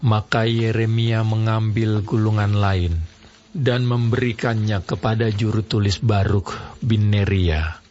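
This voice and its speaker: Slow, measured male Bible narrator. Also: fairly low pitch, steady tone, a little mellow. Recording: slightly dull studio audio over music.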